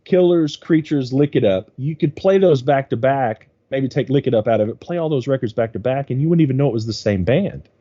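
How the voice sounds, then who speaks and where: A man in his forties with a mellow, warm tone speaks earnestly and emphatically as a podcast guest. The recording is dry and slightly dull, with a lo-fi edge. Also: mid-range voice, animated, brisk, few pauses, no echo. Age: 40s. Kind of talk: casual chat.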